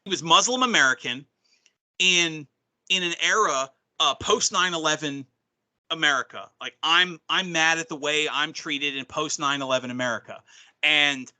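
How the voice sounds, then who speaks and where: A man with a very bright, fairly high voice, talking over the history of wrestling gimmicks. He rambles, restarts his sentences and keeps clearing a slightly hoarse throat. It sounds like loose, casual conversation between co-hosts. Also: normal speed. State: losing his voice. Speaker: wrestling podcast co-host. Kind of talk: casual chat.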